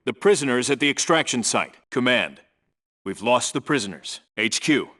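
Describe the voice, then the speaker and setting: Navy SEAL commander for a military shooter game; bright, tense, authoritative, businesslike reports in a medium register. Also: male voice, mid-range voice, animated, normal speed. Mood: focused, businesslike. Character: no-nonsense male Navy SEAL team leader. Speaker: video game voice actor. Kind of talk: military radio reports and squad orders.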